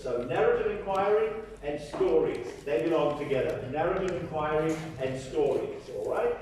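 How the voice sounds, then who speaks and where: A man's voice: high voice, a little mellow, far from the mic, a little hiss or hum. A man giving a lecture, often checking in with his listeners. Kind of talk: academic lecture. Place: large echoey lecture hall. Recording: echoey hall, speaker off-mic.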